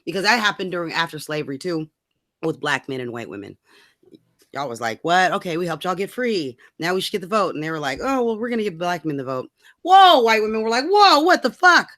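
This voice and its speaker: A woman's voice: lowish voice, very animated, fairly quick, fairly bright tone, dry recording, clean studio sound. A woman co-hosting a casual talk show, speaking bluntly and colloquially with opinions. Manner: blunt, colloquial, swears freely.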